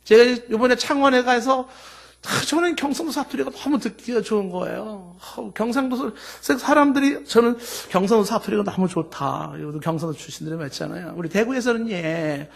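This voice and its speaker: A middle-aged man delivers a Sunday sermon in a strikingly high voice. He builds long spoken lists, pushing louder and slower on key words, then sinking low and quiet at the ends of sentences. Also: expressive, creaky. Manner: emphatic preaching with long spoken lists, swinging from loud to soft. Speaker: pastor.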